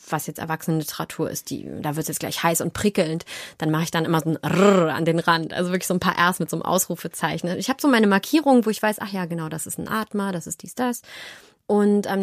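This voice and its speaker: A woman in an interview, talking about her work in an animated, storytelling way. Her voice sits a little low. The studio sound is dry and close, with no room echo. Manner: lively, animated storyteller who slips into voices. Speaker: audiobook narrator and actress. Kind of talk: relaxed conversational interview.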